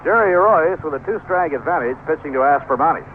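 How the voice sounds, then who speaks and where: An American man gives live baseball play-by-play in a fairly high-set voice. He sounds like a 1970s radio announcer, heard through a thin, band-limited broadcast with light noise behind him. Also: animated, fairly quick, phone-quality sound.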